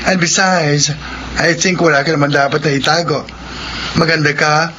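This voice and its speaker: An actor playing a smooth, persuasive male talent agent in a radio drama, with measured hesitations. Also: on the high side, steady tone, pushed and bright. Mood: coaxing. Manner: smooth-talking, hesitant pauses, code-switching. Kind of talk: Filipino radio drama acting. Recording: dull, noisy radio drama audio.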